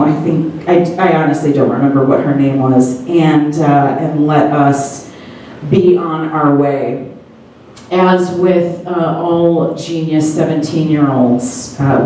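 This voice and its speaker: A woman's voice: low voice, warm tone, a little hiss or hum. A woman telling a true story on stage with a wry, digressive, laughing manner and the odd swear. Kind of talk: live personal storytelling. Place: comedy theater stage. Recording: echoey theater from far off.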